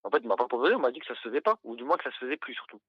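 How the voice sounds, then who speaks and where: A man's voice: mid-range voice, big pitch swings, racing, slightly rough, dry recording. A young man calling in to a casual chat, answering briefly and a little hesitantly over dull call audio. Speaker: caller seeking a wife.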